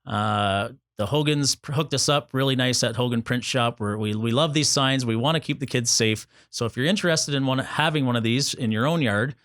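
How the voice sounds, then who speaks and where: A man's voice: medium pitch, a little fast, few pauses, fairly bright tone, dry recording, clean studio sound. A man hosting a talk show, upbeat and joking as he introduces guests and promotes the show's causes. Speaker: show host.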